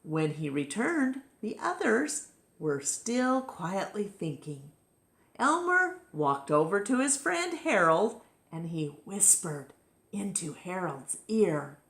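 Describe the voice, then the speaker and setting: A woman's voice: medium pitch, big pitch swings, slow, fairly bright tone, echoey room. A woman narrating a children's picture book expressively as a storytime reader.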